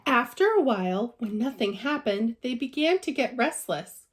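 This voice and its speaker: A woman's voice: on the high side, sing-song, normal speed. A woman teacher reading a children's storybook aloud with expression.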